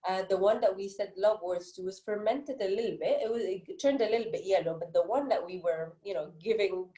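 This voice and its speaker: A woman's voice: medium pitch, a little fast, dark tone. A woman with an Egyptian accent giving conversational interview answers as an author, telling stories in an earnest, reflective way. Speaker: interviewed children's book author. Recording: roomy, echoey sound.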